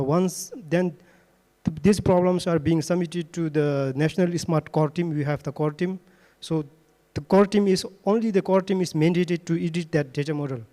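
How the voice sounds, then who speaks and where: A man from Bhutan adds to a panel answer, pausing often on fillers. His voice sits fairly high with a mellow tone. A long hall reverb trails behind him.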